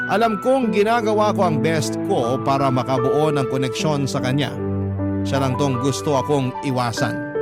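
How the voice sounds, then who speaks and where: A man with a Filipino accent giving an earnest, sentimental first-person narration of a letter for a radio drama. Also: fairly high pitch. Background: prominent music bed.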